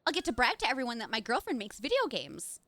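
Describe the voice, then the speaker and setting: A woman voicing an excitable, enthusiastic young woman character in a visual novel, with an eager, gushing manner. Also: a little high, fast talker, dry recording. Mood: excited.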